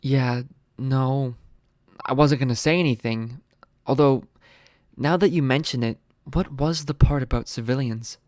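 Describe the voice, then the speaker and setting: An actor playing a wary government science adviser, hesitant, understated and skeptical in naturalistic meeting dialogue. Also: male voice, medium pitch, unhurried, a little mellow, no echo, clean studio sound, lightly acted.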